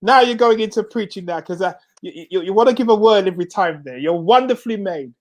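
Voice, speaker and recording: A man's voice: sits high, lively intonation, fast, a little mellow. A middle-aged man from Jamaica hosting a casual talk show, often repeating words as he starts sentences and turning phrases into slogans. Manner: stammering repeats, laughs often, emphatic slogans. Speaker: host. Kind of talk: casual chat.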